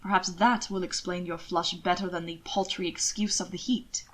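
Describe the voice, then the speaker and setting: A woman voicing a teasing, amused aristocratic gentleman in dry, formal period dialogue. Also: lowish voice, fairly even intonation, normal speed, voice pushed down. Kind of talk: fiction read aloud, character dialogue.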